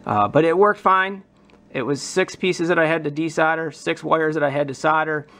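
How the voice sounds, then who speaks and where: A man's voice: on the high side, moderate pace, bright, forceful tone. A man presenting a prop modding demonstration in an informal, enthusiastic, casual explanatory way. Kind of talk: casual explanatory hobby vlog.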